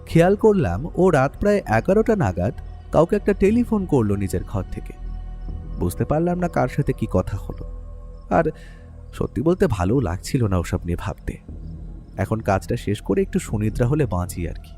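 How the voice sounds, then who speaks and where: Male actor for detective's writer companion, Bengali story narration. Very lively intonation, dark warm timbre, quiet music bed. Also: medium pitch, moderate pace. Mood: reflective, narrating. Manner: expressive, storytelling.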